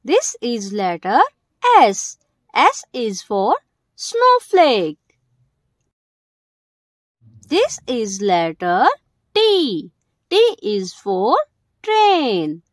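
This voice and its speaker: A woman narrates a lesson for young children in short, simple phrases with the key words stressed. Her voice is fairly high and very animated in pitch. The sound is dry and close. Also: slow, dry recording. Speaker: host of a children's alphabet video.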